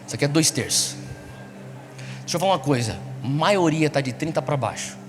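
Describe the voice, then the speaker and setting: A man's voice: fairly high pitch, expressive, brisk, a little hiss or hum. A Brazilian man preaching to a young congregation, exhorting them with repeated rhetorical questions. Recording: echoey large hall, music behind. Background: music.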